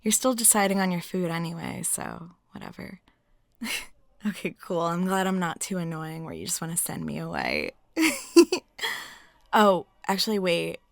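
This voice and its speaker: A woman playing a flirty, lonely, talkative waitress in an intimate roleplay, chatting softly and casually and sometimes dropping near a whisper. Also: lowish voice, big pitch swings, normal speed, vocal fry, dry recording, clean studio sound. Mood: playful, flirty. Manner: soft, rambling, giggly, drops into near-whispers. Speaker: audio roleplay performer.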